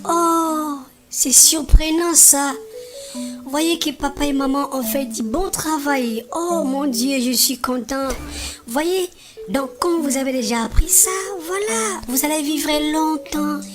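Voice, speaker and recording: A woman voicing a kindly, devout grandmother who patiently teaches her grandchildren in an animated religious film. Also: sits high. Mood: joyful praise. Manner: patient, instructive, often checks understanding.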